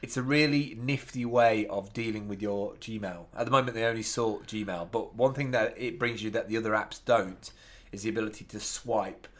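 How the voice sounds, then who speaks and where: A man's voice: on the low side, normal speed, hardly any pauses. A man co-hosting an app review show, chatting informally and with enthusiasm about tech. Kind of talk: casual tech review chat.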